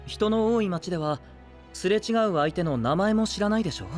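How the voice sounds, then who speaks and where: An actor playing a gentle, idealistic young knight who leads an alliance, speaking softly and earnestly in anime audio drama style. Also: male voice, high-pitched, expressive, faint music behind. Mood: pensive. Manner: soft-spoken, earnest, measured.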